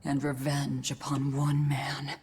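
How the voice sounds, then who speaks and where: A woman playing a grim young woman bent on revenge, narrating a video game with brooding, measured menace. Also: a bass voice, monotone, darkish tone, a little hiss or hum, exaggerated voice. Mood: grim, vengeful.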